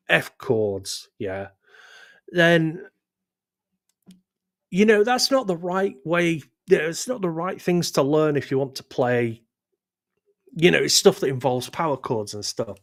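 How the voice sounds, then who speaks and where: Male stream host, sore throat, rambling and self-deprecating, mid pitch. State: sore throat, losing his voice. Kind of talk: casual chat reading live chat.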